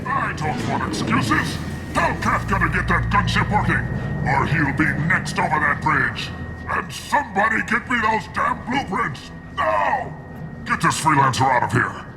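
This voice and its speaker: A man's voice: high voice, sing-song, measured pace, vocal fry, music in the background, heavily acted. A man voicing a harried, hot-tempered mercenary boss in a video game, impatient, swearing and barking orders. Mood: furious.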